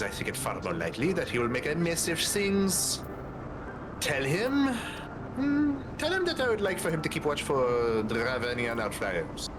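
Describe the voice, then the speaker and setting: A man streaming a game and reading its dialogue aloud in a measured, mock-formal tone with dry asides. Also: mid-range voice, noisy background. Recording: music playing behind.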